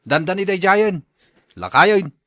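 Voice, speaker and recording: A man's voice: high-pitched, lively intonation, unhurried, a bit bright, no room sound, studio quality. A man playing a hard-headed, resentful husband and son in an Ilocano radio drama, with a combative, sneering manner. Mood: bitter.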